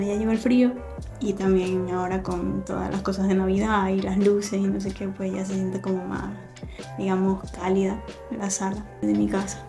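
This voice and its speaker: A woman, chatting casually in a home vlog, soft-spoken and thinking aloud with small pauses. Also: mid-range voice, vocal fry. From Venezuela. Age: 30s. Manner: soft, relaxed, thinking aloud with fillers and restarts. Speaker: artist vlogger. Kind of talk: casual vlog talk to camera. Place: living room at home, cats playing nearby.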